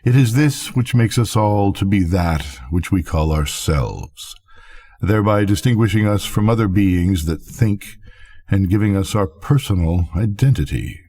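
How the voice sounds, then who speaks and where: An older man reading a literary story aloud as a bedtime storyteller, in measured, deliberate phrases with pauses. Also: on the low side, animated, mellow. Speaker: storyteller, reader.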